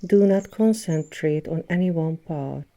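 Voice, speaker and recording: A woman leading a guided yoga nidra meditation as an instructor, speaking in a soothing, gentle manner with long pauses between phrases. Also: sits low. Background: birdsong and nature sounds.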